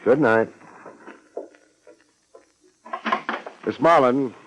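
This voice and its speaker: An actor playing a laconic, wisecracking private detective in a dry, clipped 1950s radio drama style. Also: male voice, medium pitch, moderate pace, lightly acted. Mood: dry, skeptical. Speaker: lead actor and narrator. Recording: noisy vintage 1950s radio broadcast.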